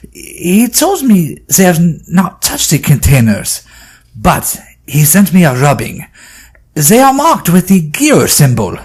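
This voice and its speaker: Casting: male actor as courteous, anxious French scholar, French accent, husky tone, expressive pitch swings. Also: heavily acted. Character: anxious French male scholar, old friend welcoming guests. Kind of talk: audio drama acting.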